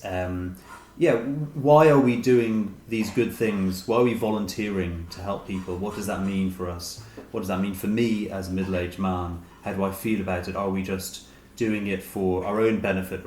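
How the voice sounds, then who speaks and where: A man in his late forties speaks in a low register, feeling his way thoughtfully through his answers. He sounds like an interview guest in a reverberant room, with some noise behind him. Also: a little mellow. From Dublin, Ireland. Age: late 40s. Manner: hesitant, thoughtful, hedging with "sort of". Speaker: interview guest, short story writer. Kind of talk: reflective conversational interview. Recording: roomy echo, noticeable background hiss.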